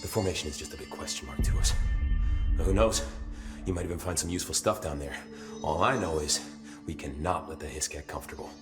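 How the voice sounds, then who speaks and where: A man's voice: a bass voice, fast talker, music in the background. A man acting as an easygoing, blunt security officer who swears casually and talks wryly, in a video game. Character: easygoing, foul-mouthed male security officer, blunt and wry.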